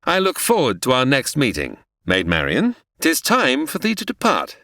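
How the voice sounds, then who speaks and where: A man acts a confident, swashbuckling outlaw in a medieval audio drama. The voice is crisp-edged and forceful, leaping around in pitch as archaic lines are delivered with theatrical swagger. The recording is dry. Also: wildly swooping pitch, moderate pace, no echo. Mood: cocky. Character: bold, confident male outlaw hero of medieval England.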